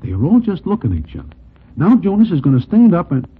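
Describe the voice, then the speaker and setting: An actor playing a wry New gangland narrator with formal slang, in 1940s radio acting style. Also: male voice, a little high, huge pitch swings, fast talker, slightly rough, full character voice. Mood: hushed, watchful. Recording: tinny 1940s radio recording, music bed.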